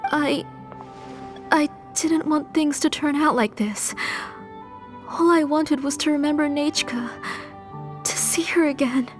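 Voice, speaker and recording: An actress playing a middle-aged mother consumed by grief and guilt, speaking haltingly and breaking off mid-phrase. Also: high-pitched, faint music behind. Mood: grieving. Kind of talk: video game dub.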